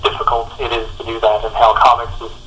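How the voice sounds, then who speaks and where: A man with a bright, forward voice talks through his answers as a guest on a call, pausing and circling back as he finds his words. A faint hiss of noise sits under the line. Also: medium pitch, completely flat delivery, poor audio. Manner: reflective, searching for words. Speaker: comic book artist guest.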